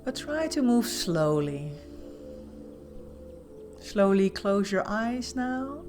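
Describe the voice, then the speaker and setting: Slow-paced woman guiding meditation. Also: medium pitch, sing-song, faint music behind. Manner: soothing, gentle, softly spoken instructions with pauses. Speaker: guided meditation instructor. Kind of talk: guided meditation narration.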